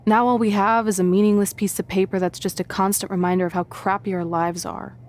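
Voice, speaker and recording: A woman's voice: medium pitch, little pitch movement, fairly quick, darkish tone, lightly acted. An actress playing a frank, practical young female wrestler in a video game, speaking in a blunt conversational way. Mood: frustrated. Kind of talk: video game cutscene acting.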